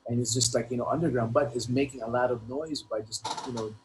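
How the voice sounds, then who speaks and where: A man's voice: medium pitch, fairly even intonation. Man in casual interview, noisy recording. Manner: halting, repetitive phrasing with frequent "you know". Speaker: guest hip hop artist.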